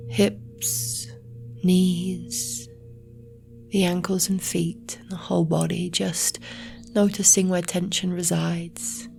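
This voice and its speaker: A woman guiding a relaxation meditation in a soft, breathy, near-whispered voice, slowly and soothingly with long pauses. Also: fairly low pitch. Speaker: yoga nidra meditation guide. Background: meditation music with singing bowls.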